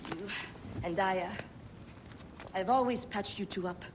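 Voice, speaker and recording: A woman's voice: mid-range voice, a little slow, lightly acted. A woman playing a caring but bossy friend and healer in a game cutscene, fussing and firm. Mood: tender. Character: caring, bossy female friend tending a fighter's wounds. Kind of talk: video game cutscene acting. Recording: clearly noisy background.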